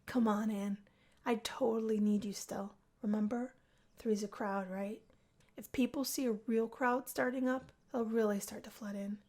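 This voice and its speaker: A woman's voice: on the high side, fairly even intonation, normal speed, darkish tone. A woman voicing a laid-back, cocky young male musician in a visual novel, talking in a casual, coaxing way.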